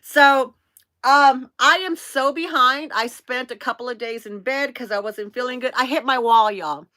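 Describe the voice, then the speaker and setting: A woman in her late fifties with a high, bright voice, hosting a live stream. She chats casually in a bubbly, scattered way, excitable as she talks to her viewers. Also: moderate pace. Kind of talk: casual chat, greeting viewers by name.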